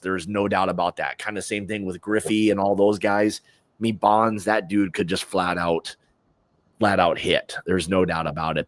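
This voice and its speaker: A man's voice: lowish voice, a bit bright, no room sound. An American man hosting a casual sports prediction livestream, talking informally in slangy, opinionated fashion.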